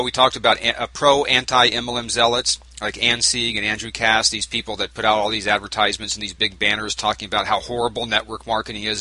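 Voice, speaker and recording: A man's voice: fairly low pitch, pushed and bright, dry recording, poor audio. A man hosting a talk radio show, chatting casually with many fillers and restarts, with a sore throat. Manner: conversational, with frequent fillers and restarts.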